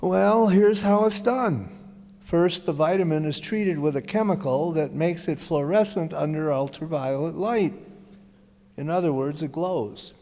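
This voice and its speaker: A man's voice: a little high, measured pace, dark tone. A man playing a reassuring family druggist in a scripted old-time radio advertisement, explanatory, folksy and courteous. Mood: earnest, explanatory. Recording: reverberant hall stage recording.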